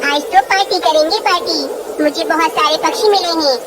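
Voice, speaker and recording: A woman's voice: very high-pitched, moderate pace, heavy background noise. A woman voicing a scheming, greedy female eagle who gloats, in a children's cartoon. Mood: proud, excited. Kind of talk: Hindi children's cartoon dialogue.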